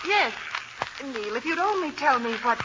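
An actress playing a caring, genteel wife with refined old-fashioned diction in an old radio play. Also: female voice, sits high, expressive, normal speed, a loud crowd. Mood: fond. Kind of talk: 1940s radio acting. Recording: dull, hissy old radio recording.

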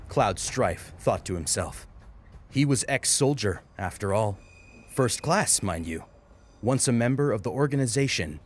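A man narrating a scripted story as a dramatic audiobook reader. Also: on the low side. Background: music.

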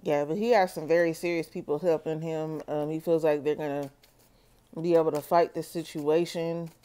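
A woman doing a solo tarot reading for her viewers, talking slowly and checking in with "okay?". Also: sits low, steady tone, dark tone, clean tone. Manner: slow, measured, repeats "okay?" to viewers. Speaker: tarot reader.

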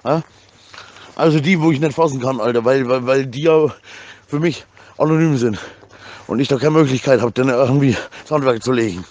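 A man's voice: medium pitch, fairly quick, darkish tone. A man chatting casually in an agitated, rambling way, stammering over repeated words, with slangy, crude swearing. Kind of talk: casual chat, agitated rant.

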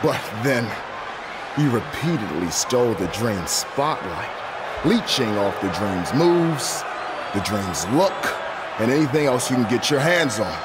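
A vain, theatrical wrestler in a game cutscene, speaking of himself in the third person. His mid-pitched voice rides over a roaring arena crowd. Loud noise sits under the whole track. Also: very animated, a little slow. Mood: taunting. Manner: theatrical, self-obsessed, speaks of himself as "the Dream". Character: arrogant male wrestler who talks about himself in third person. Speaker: wrestler character. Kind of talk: video game cutscene dialogue.

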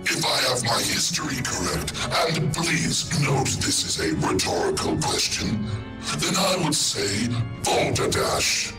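A man's voice: mid-range voice, sing-song, a little slow. A man playing a sardonic, pompous ancient tech-priest genius in a dramatic reading, declamatory and mocking. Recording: echoing voice under loud music.

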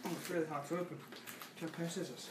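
A man's voice: a little high, steady tone, racing. Young english guy, teasing and kinda shy, filmed on a phone, lots of background noise. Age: young adult. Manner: playful, teasing, bashful. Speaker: vlogger. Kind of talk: casual couple vlog chat. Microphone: handheld phone camera. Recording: noisy handheld phone recording.

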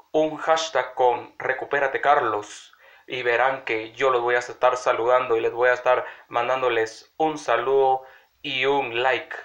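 A man's voice: medium pitch, a bit bright. A young man in his early twenties with a cold, chatting rambly to his phone camera in a small room at home. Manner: rambling, chatty, addressing viewers directly. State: sick with a cold, congested. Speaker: vlogger. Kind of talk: casual vlog to camera. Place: small room in a house, indoors. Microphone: phone camera at arm's length. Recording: dull lo-fi phone audio.

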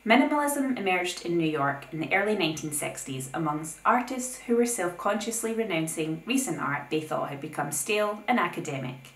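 A young woman hosting an art video, reading a scripted essay with opinionated, lively asides. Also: on the low side, a little fast, hardly any pauses, reverberant, rough recording. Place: plain indoor room.

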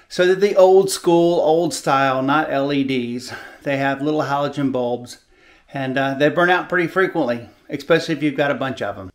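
An older man hosting a home repair video in his kitchen, explaining in a relaxed, folksy, plain-spoken way on a lapel mic. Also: fairly high pitch. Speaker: DIY video host. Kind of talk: casual how-to demonstration.

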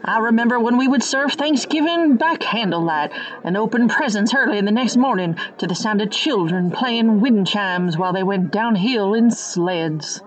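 A woman's voice: medium pitch, animated, normal speed, natural voice, low-quality recording. A woman playing a pious, nostalgic small-town matriarch with a folksy, preachy manner in a satirical radio play.

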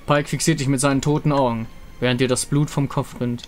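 A male narrator tells a fantasy game story from a script. His delivery is measured and dramatic, with music playing underneath. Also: mid-range voice, level tone.

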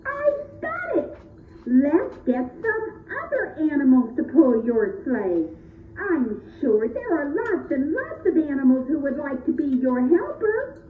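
Very high, eager little elf played by a woman. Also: very animated, a little hiss or hum, full character voice. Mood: excited. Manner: earnest, exclamatory, drawn-out delivery. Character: eager, excitable young elf helper of Santa. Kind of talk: children's puppet show. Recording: roomy, distant, muffled phone-like audio.

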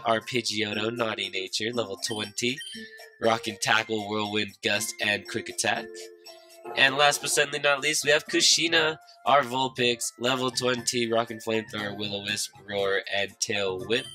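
A man's voice: mid-range voice, poor audio. A young man with a slightly stuffed-up, nasal voice gives loose, profane, joking commentary to his viewers while playing a game. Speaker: gaming YouTuber. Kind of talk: casual Let's Play commentary. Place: small room lit by a side window. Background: game music underneath.

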